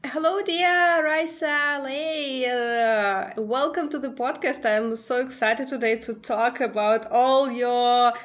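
A woman hosting a dance podcast, asking slow questions with frequent fillers. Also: high voice, fairly even intonation. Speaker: podcast host. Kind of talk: podcast interview and ad read.

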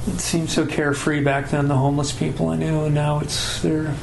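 A man with a raspy voice, a singer-songwriter, talking casually and rambling with a self-deprecating manner. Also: a little high, level tone, brisk, noisy background. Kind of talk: casual chat between songs. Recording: roomy echo with guitar behind.

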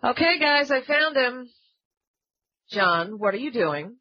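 A woman's voice: on the high side, expressive, takes it slowly, no echo. A woman playing an exasperated colleague who scolds in a scripted podcast comedy skit, recorded in dull lo-fi sound. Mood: impatient.

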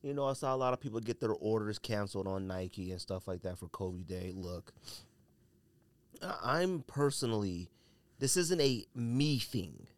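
A man's voice: on the low side, animated, normal speed. An American man hosting a solo podcast, giving opinionated commentary in slangy, colloquial speech and repeating phrases for emphasis. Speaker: podcast host.

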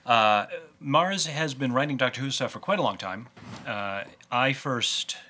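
A man's voice: mid-range voice, unhurried, sharp tone, slight background noise. A man hosting a casual fan discussion, explaining with nerdy enthusiasm. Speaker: podcast host.

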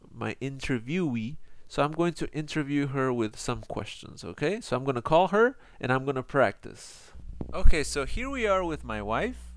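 A man's voice: medium pitch, gravelly, clear, resonant tone, dry recording. A man teaching an online English lesson, explaining patiently with many fillers. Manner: switches between Spanish and English, explains slowly with fillers.

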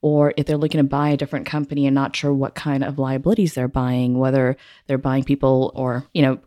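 A woman's voice: a bass voice, warm tone, dry recording, clean studio sound. A woman from Singapore, a business owner, answering interview questions eagerly and chattily. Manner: eager, chatty, lots of fillers.

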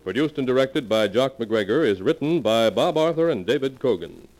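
A man's voice: medium pitch, animated, moderate pace, darkish tone, low-quality recording. A man narrating a 1940s radio mystery in a solemn, theatrical style. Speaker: announcer and narrator. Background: dramatic music.

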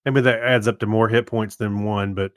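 A man's voice: lowish voice, steady tone, normal speed, no room sound, studio quality. A man co-hosting a podcast, chatting casually and reading out comments from listeners. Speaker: podcast co-host.

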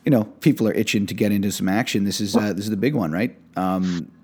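A man's voice: on the low side, fast talker, darkish tone, breathy edge. A man hosting a casual sports betting podcast, loose and upbeat, with lots of fillers and restarts. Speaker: podcast host.

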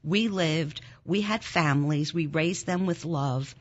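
A woman in her sixties, born in Germany with German as her first language, speaks low and earnestly as an interview guest. Her telling is intense and climbs high when the feeling takes over. The sound is a little dull and muffled. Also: measured pace. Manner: earnest, intense, rises sharply when moved. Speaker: interview guest, nonprofit founder. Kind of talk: emotional personal storytelling in an interview. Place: Philadelphia.

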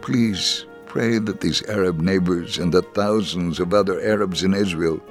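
A man reading a scripted first-person story as a narrator, in a measured, deliberate way. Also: sits low, soft background music.

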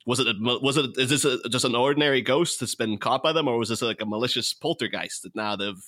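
A man in casual podcast chat, talking in an animated, rambling way. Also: medium pitch, fast talker. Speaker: podcast panelist.

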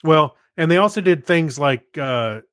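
A man co-hosting a podcast with casual, informal talk in a somewhat halting manner. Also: a little high, animated, a bit bright, no room sound, studio quality. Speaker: podcast co-host.

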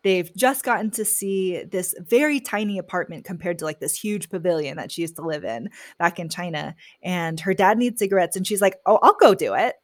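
A woman guest on a podcast, casually discussing a book and retelling scenes in an animated way. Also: lowish voice, fairly quick, few pauses.

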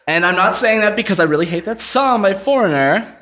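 Animated, exasperated male show announcer. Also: high voice, fairly quick. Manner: mock-exasperated straight man. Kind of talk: live old-time-radio-style comedy hosting. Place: live show in a comic book shop. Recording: echoey live room.

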